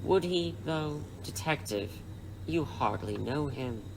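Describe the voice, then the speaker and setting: A man playing a menacing crime boss in an audio drama, with a mockingly polite, formal, unhurried manner. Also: a little high, animated, vocal fry, background noise, full character voice. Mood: smug, calm. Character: suave, menacing male crime boss interrogating captives.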